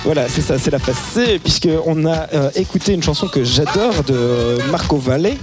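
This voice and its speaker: A man with a somewhat high voice chats on air in a small radio studio, joking about himself and stumbling over repeated words. Music plays clearly under the talk throughout. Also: expressive, moderate pace. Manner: playful, self-mocking, laughs often, stumbles and repeats words. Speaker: radio show co-host. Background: music playing.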